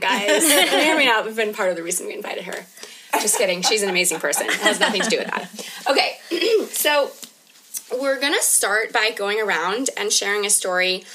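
A woman's voice: fairly high pitch, animated, normal speed, noisy background. Casual woman podcast host in her thirties. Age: 30s. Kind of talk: casual conversational podcast talk.

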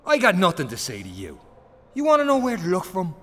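A man's voice: high voice, huge pitch swings, quick pace, fairly bright tone, in a large hall, full character voice. An actor playing a surly, self-righteous male gangster in a radio drama, defiant and pious in his talk. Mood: righteous, indignant.

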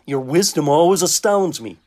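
A man playing a gruff, sardonic small-town drinking man in a radio play. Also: a little high, animated, moderate pace, mellow, lightly acted. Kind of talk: radio drama acting.

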